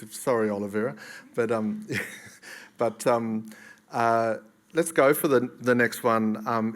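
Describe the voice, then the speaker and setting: Easygoing Australian man moderating. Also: mid-range voice. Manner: relaxed, casual, steering questions. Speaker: panel facilitator. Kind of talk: moderating a panel.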